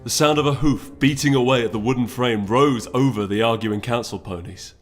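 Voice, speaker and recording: A man reading a story aloud as dramatic, solemn and deliberate scripted narration. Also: medium pitch, faint music behind. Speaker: story reader.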